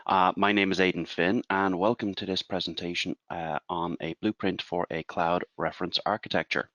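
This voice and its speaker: An Irish man in his forties presents a webinar as a consultant, explaining in a measured way and asking rhetorical questions. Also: low voice, little pitch movement, fairly bright tone, dry recording. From Ireland.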